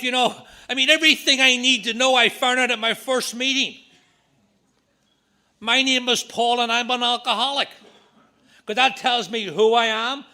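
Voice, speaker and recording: A middle-aged man with a Northern Irish accent, giving an earnest talk at a recovery meeting with quick asides. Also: very high-pitched, bright, forceful tone. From Northern Ireland. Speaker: AA speaker. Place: meeting room.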